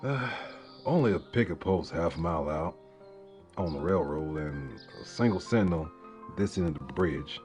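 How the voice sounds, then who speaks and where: A man playing a grim soldier reporting war news in a story, hushed, halting and matter-of-fact. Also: sits low, animated. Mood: hushed, reflective. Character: gray-clad horseman soldier, grimly reporting war news. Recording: music under the voice.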